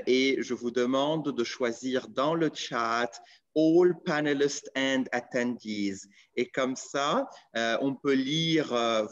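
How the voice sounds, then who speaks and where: A man's voice: mid-range voice, highs cut off. A man hosting an online seminar, introducing the session and giving practical instructions in a hesitant way with many fillers. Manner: slow, hesitant, with many "euh". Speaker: webinar host. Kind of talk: event hosting.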